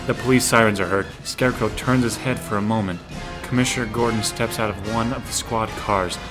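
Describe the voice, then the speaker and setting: A man's voice: fairly low pitch, steady tone, brisk. A man narrating a scripted audio drama, describing the action as the narrator. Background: music.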